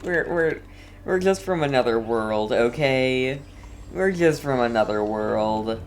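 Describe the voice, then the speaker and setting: Low, slow-talking game streamer, amused. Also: female voice, expressive, slight background noise. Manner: interjecting, echoing phrases, amused. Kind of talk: casual live reactions to a video game.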